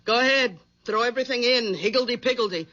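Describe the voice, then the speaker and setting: Bossy, nagging radio-drama wife. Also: female voice, a little high, quick pace, a bit bright. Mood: scornful, bossy. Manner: curt, bossy, scolding. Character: domineering, nagging middle-aged wife, brusque and scornful. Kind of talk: 1970s radio drama acting. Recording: muffled 1970s radio broadcast.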